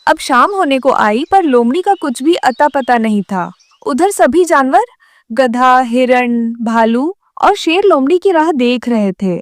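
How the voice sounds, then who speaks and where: Woman narrator, high voice, very lively intonation, children's story, dry recording. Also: unhurried, dry recording.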